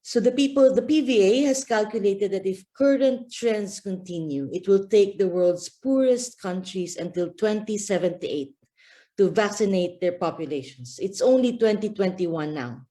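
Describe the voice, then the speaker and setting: A woman's voice: mid-range voice, normal speed, mellow. A woman from the Philippines giving an earnest talk at a press briefing over a video call, with frequent fillers. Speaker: guest speaker, campaign manager.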